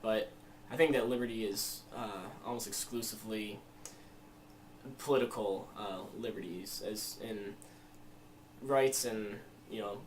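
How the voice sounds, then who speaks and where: A man's voice: on the low side, a little slow. A 21-year-old American man giving informal, reflective interview answers in a rambling, hesitant way with many you-knows. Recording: distant mic, faint room noise.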